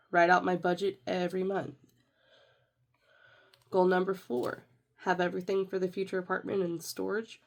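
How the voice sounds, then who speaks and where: A woman's voice: lowish voice, vocal fry, darkish tone. A young woman with a congested, nasal voice from a sinus infection, chatting casually as she walks through her budget planner. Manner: chatty, rambling with fillers and self-corrections. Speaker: budgeting vlogger.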